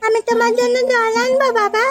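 A woman's voice: very high voice, little pitch movement, brisk, over music. A woman voicing a young schoolgirl in a cartoon story, plaintive and caring, with childlike questions. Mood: concerned.

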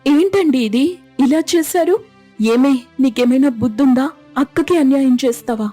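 A woman voicing a wronged, self-sacrificing wife and elder sister in an animated moral story, meek and pleading. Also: high voice, normal speed, faint music behind. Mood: shocked, angry.

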